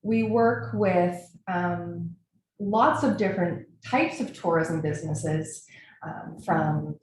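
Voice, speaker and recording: Canadian woman, webinar presenter, slightly low voice, earnest and enthusiastic, recorded in a very reverberant room. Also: slow, a little mellow. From Nova Scotia, Canada. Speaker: webinar presenter, brand strategist. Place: indoor echoey room, online webinar. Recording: very echoey room, faint noise.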